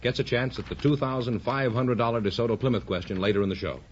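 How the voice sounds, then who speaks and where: A man's voice: medium pitch, fast, a little hiss or hum. A man announcing rules and winnings as the sidekick on a vintage radio quiz show. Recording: dull vintage radio recording, audience behind.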